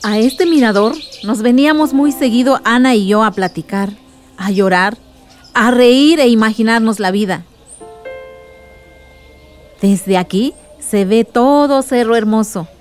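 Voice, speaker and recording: A fairly high female voice of an actress as an affectionate aunt in a radio drama. She tells of her village past in a reflective, nostalgic, storytelling way. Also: a little slow, pauses often, faint music behind, close to an everyday voice.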